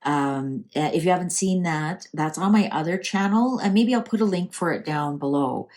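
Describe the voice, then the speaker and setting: A woman's voice: on the low side, moderate pace, a little mellow. A woman with a Canadian accent hosting a casual, improvised tarot reading, thinking aloud in a conversational way. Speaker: tarot reader and channel host.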